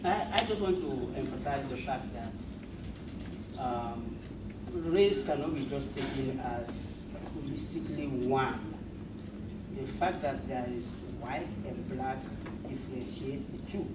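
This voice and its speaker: Halting man speaking up from far across a noisy classroom. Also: mid-range voice. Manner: emphatic, halting, many fillers. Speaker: workshop participant. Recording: distant roomy classroom mic with loud noise.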